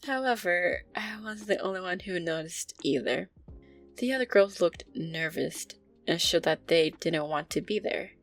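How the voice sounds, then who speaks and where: A woman acts out an affectionate vampire girlfriend in an intimate audio roleplay. Her voice sits fairly low with plenty of fry, and she speaks in a hesitant, conversational way, easily flustered. Music plays softly behind her. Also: expressive, unhurried. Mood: earnest, reminiscing. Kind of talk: intimate audio roleplay, first-person storytelling to a listener.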